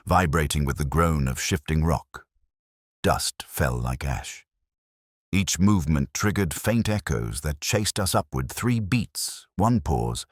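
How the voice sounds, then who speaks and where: A man's voice: a bass voice, slightly rough, dry recording, clean studio sound. A man reading scripted, dramatic mystery narration as an audiobook narrator.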